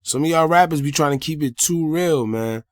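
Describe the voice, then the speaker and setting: A man's voice: a little high, normal speed, dry recording, clean studio sound. A man with an American accent recaps news as an online host, talking colloquially and directly to viewers with his own blunt opinions. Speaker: host of a hip-hop news commentary channel. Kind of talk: news recap with casual personal commentary.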